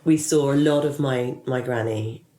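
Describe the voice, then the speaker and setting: A woman's voice: extremely low pitch, normal speed, dark tone. A woman in her forties, a PR consultant and interview guest, chatting casually and rambling with many restarts. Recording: echoey room.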